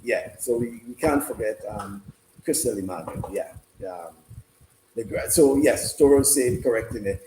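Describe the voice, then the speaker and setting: A man hosting a casual live sports discussion, speaking haltingly and repeating himself. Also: on the low side, expressive, warm tone. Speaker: sports radio host. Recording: roomy, noisy room sound.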